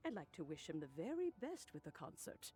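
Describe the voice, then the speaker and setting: A woman playing a gentle, wistful old granny in a video game, speaking in a genteel, unhurried, reflective way over background music. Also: on the low side, big pitch swings, slight background noise. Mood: kindly, lightly amused.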